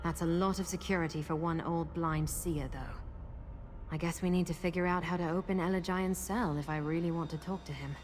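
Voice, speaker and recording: A woman's voice: lowish voice, steady tone, fairly quick, slight background noise, lightly acted. A woman voicing a practical, thoughtful adventuring companion in a video game, explaining things conversationally. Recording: loud music behind.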